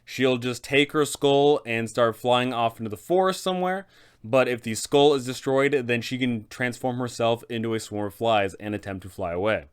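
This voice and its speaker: A man's voice: mid-range voice, normal speed, clear, resonant tone. A man hosting a tabletop game guide, narrating in an explanatory way with dramatic emphasis. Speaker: host of a game master guide. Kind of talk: semi-scripted explanatory narration.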